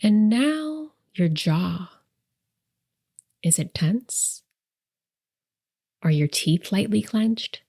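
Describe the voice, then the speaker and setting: A woman leading a guided meditation as host, speaking softly and gently with deliberate pauses, her breathy voice trailing into whispers. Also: medium pitch, very animated. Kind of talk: soft, soothing guided meditation narration.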